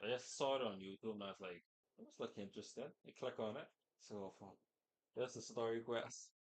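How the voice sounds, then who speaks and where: A man's voice: fairly low pitch, brisk. A man streaming a video game, rambling casually in slang and trailing off. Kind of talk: casual live game commentary.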